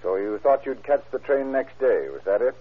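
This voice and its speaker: Guy doing a dry husky police sergeant, shrewd, lively pitch, tinny old radio crime show. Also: moderate pace, lightly acted. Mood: probing. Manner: dry, sardonic questioning. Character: shrewd, sardonic, unflappable male police sergeant. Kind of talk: 1940s radio crime drama acting.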